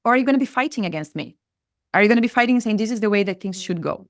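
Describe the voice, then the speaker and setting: A woman's voice: mid-range voice, expressive, rapid-fire, no echo. Brazilian woman, Portuguese native, earnest podcast guest, dry close sound. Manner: earnest, repeats phrases for emphasis. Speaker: spiritual mentor guest. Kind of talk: reflective podcast conversation.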